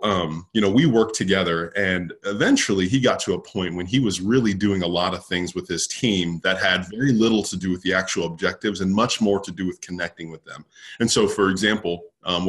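Low-voiced man answering in an interview. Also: moderate pace, a bit bright. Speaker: interview guest, leadership expert.

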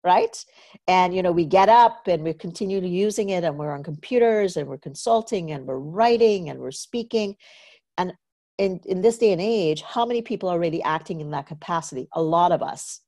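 A woman's voice: fairly low pitch, animated, normal speed, mellow. A woman from Trinidad and Tobago hosting an interview podcast, talking in a chatty way with lots of "you know" fillers and storytelling asides. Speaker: podcast host. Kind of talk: casual conversational interview.

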